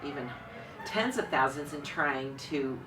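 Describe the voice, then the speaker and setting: A woman's voice: very deep voice, animated, unhurried. A middle-aged woman, the founder of a styling program, talking in an assertive, enthusiastic way in an interview. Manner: assertive, enthusiastic, self-described louder voice. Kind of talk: conversational interview. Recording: distant mic with faint room noise.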